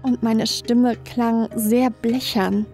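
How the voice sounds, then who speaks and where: A woman's voice: fairly high pitch, little pitch movement, takes it slowly, dark tone. A woman reading scripted first-person horror narration as a creepypasta narrator. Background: background music.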